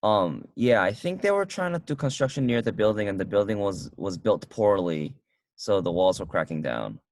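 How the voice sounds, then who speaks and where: Deep-voiced man in casual film chat. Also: big pitch swings, darkish tone, dry recording. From United States. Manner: halting, many restarts and fillers. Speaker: co-host reviewing a film. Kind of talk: casual movie review chat. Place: car.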